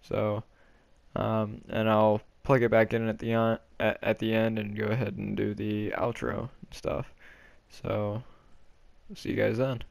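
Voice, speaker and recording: A man doing casual walkthrough commentary for a game video, talking in a halting, low-key way. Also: monotone, gravelly, dry recording. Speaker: gaming video creator.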